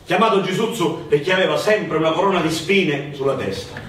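A man's voice: on the high side, a little fast, a bit bright, close to an everyday voice. A man with a Sicilian accent acting and telling a folk tale on stage in an emphatic, declamatory way. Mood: storytelling. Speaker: stage actor and storyteller. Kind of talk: theatrical storytelling in Sicilian-flavoured Italian. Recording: very echoey hall, distant mic, faint noise.

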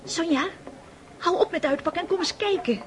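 High-pitched, girlish excitable young countess. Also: moderate pace. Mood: excited. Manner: gushing, impulsive, girlish exclamations. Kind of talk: radio drama acting. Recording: noisy old radio play recording.

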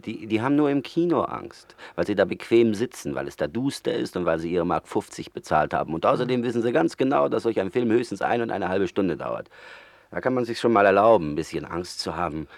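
A man's voice: lowish voice, animated, fairly quick, gravelly, no echo. A man playing a soothing but scornful companion in a German radio play, reassuring and sardonic.

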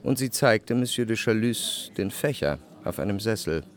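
A man as a lowish-pitched, reflective literary narrator. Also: expressive, moderate pace, lightly acted. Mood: observant. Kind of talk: scripted literary narration in a radio drama.

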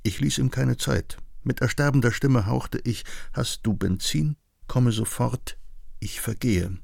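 Slow, gravelly, tormented addict. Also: male voice, fairly low pitch, darkish tone, no echo, studio quality. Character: tormented male morphine addict recounting his craving. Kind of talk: literary first-person reading in a documentary.

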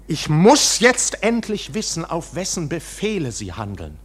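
Impassioned accused clerk, swooping intense voice. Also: male voice, fairly high pitch, huge pitch swings, a little slow. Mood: impassioned protest. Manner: impassioned, declamatory. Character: defiant, agitated accused bank officer on trial. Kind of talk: German radio drama acting.

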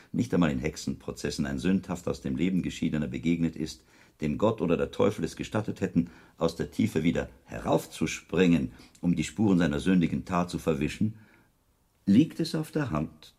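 An actor playing a shrewd, learned monk investigator in a German radio drama, reasoning calmly in deliberate, precise phrases with a husky voice. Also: male voice, very deep voice, full character voice. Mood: probing, grave. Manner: deliberate, precise, logical phrasing with weighty pauses.

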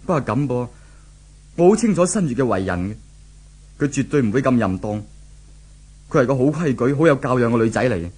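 A lovelorn, troubled man with a husky voice. He speaks earnestly in a Hong Kong Cantonese accent, in the style of a 1970s radio drama. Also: medium pitch, very animated, a little fast, pauses often, a little mellow. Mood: earnest, worried. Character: lovelorn, anxious man searching for his girlfriend.

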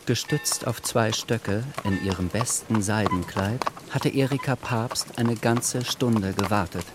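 An actor playing a sober literary narrator in a radio drama, telling the story in a measured, dry manner. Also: male voice, lowish voice, over music, lightly acted.

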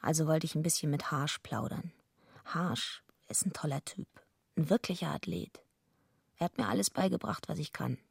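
A husky, heavily creaking tough wrestling villainess tells her story in a radio play. She is blunt and deadpan, a gruff loner with no time for niceties. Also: low-pitched, moderate pace, dry recording, clean studio sound, exaggerated voice. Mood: dry, confiding. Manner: blunt, deadpan first-person narration. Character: tough, gruff female wrestler villain and lonely night watchwoman. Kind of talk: radio play narration and dialogue.

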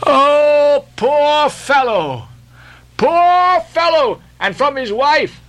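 Man voicing a high, plaintive sea captain. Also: a little fast, pushed and bright. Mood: grieving. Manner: sighing, plaintive. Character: sorrowful, soft-hearted male sea captain. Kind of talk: dramatic audiobook character voice.

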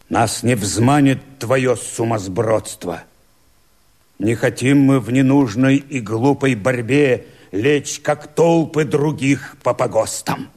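An actor playing a weary, defiant Cossack rebel who declaims verse in a measured, emphatic way for a radio drama. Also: male voice, mid-range voice.

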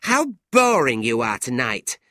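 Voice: medium pitch, big pitch swings, unhurried, breathy edge, no room sound, studio quality, pitched above the performer's own voice. A narrator voicing a jealous, spiteful young woman in a storybook, bitter and dramatic. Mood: nasty.

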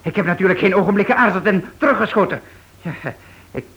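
An actor playing a flustered, defensive husband, stammering and protesting in a radio drama. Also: male voice, high-pitched, quick pace, a bit bright. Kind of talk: Dutch radio play acting. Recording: dull old radio recording.

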